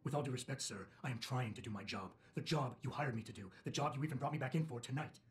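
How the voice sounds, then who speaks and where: An actor playing a terse, wary, stubbornly dutiful security chief in a video game, blunt and suspicious. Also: male voice, medium pitch, fast, lightly acted. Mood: challenging.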